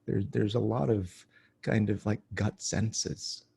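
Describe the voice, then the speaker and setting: A man with a low, gravelly, very husky voice speaks on a panel over a video call. He is hesitant and halting, and keeps repeating words as he feels his way forward. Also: steady tone, dark tone. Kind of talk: casual reflective panel talk.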